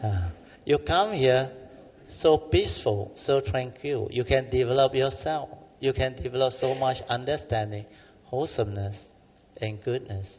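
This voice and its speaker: A man's voice: mid-range voice, unhurried, big-room sound, muffled recording, slight background noise. A man with a Malaysian English accent giving a Buddhist dharma talk in a meditation center, colloquial and exhorting, often tagging "huh?" onto his sentences. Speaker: Buddhist teacher.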